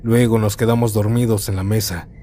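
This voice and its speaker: A man narrates horror stories in a calm, steady first-person read. His voice sits a little low and has a warm, mellow tone. A faint noise runs under the recording. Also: fairly quick.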